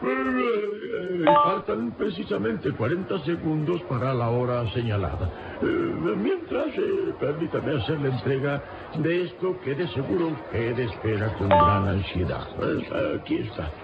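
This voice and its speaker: A man's voice: on the high side, sing-song, unhurried, few pauses, very noisy. An actor playing a sly, stooped old man in a radio serial, hesitant, with frequent little "eh" fillers. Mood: sly, teasing. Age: older. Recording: dull lo-fi radio serial recording, crowd sounds.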